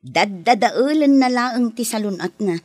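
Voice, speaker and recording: An actress playing a sympathetic, gossipy friend and neighbour in a radio drama, with an Ilocano Filipino accent. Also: female voice, a little high, expressive, a little slow, darkish tone, no room sound. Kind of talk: Philippine radio drama acting.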